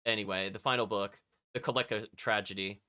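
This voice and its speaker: A man's voice: fairly low pitch, steady tone, brisk, bright, forceful tone, smooth. A man streaming a video game, reading its text aloud and chatting with casual asides to his viewers. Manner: chatty, often commenting as an aside.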